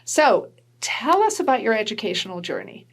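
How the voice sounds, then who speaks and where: A woman host from New Hampshire with a slightly high voice. She talks in a chatty, encouraging way and keeps affirming her guest. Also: sing-song, moderate pace, breathy edge. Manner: warm, chatty, frequent affirmations. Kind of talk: interview hosting.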